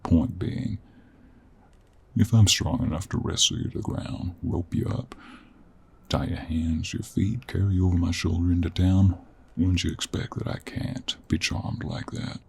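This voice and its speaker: A man playing a gruff, teasing cowboy monster hunter in an intimate audio roleplay, with a gravelly, husky voice and an American Western drawl. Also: low voice, fairly even intonation, darkish tone, full character voice. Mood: smug. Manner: slow, teasing drawl with folksy endearments.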